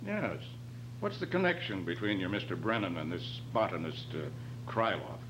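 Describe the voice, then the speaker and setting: A man playing a brisk, level-headed private detective who questions with authority in an amateur radio drama. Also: sits low, huge pitch swings, fairly bright tone, exaggerated voice. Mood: probing. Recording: noisy amateur recording with hum.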